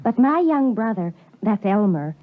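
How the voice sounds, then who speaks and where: A woman's voice: medium pitch, very animated, measured pace. An actress playing a kind, outspoken American girl in a 1950s radio play, sympathetic and frank, with an American accent. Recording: tinny 1950s radio recording.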